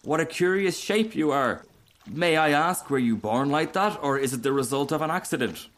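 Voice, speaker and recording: A man's voice: on the high side, expressive, moderate pace, a bit bright, pitched above the performer's own voice. A storybook duck, a she-duck who is nosy and blunt, asks her questions in a raised, oddly colored voice, as a man puts her on. Her tone is inquisitive and matter-of-fact. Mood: curious. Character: curious, blunt female duck.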